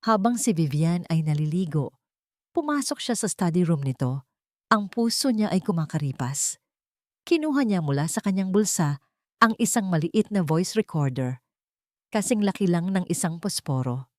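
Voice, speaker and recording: A woman's voice: lowish voice, very animated, moderate pace, a little mellow, no room sound, studio quality. A woman with a Filipino accent narrating a dramatic story from a script. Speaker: audiobook narrator.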